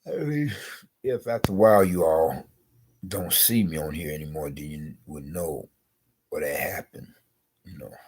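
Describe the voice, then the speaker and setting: A man vlogging slowly and rambling, congested with a scratchy throat, repeating "you know". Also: very deep voice, darkish tone, breathy edge. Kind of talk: rambling personal vlog.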